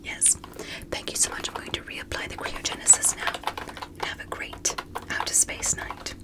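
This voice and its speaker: A woman playing a polite, gentle alien doctor in an ASMR medical roleplay, speaking in a careful, breathy whisper. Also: natural voice. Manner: slow, careful, softly reassuring.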